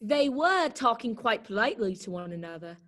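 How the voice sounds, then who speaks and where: A woman's voice: fairly high pitch, very animated, a little fast, a bit bright. A woman playing a vain, disdainful talking flower in a children's readers' theater performance. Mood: haughty. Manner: disdainful, superior. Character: vain, snooty talking garden flower, female.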